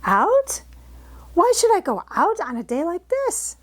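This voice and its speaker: A woman's voice: very high voice, wildly swooping pitch, a little slow, voice pushed up, full character voice. A woman voicing a spoiled, contrary little rich girl with a curt, indifferent manner in a storybook reading. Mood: haughty, contrary.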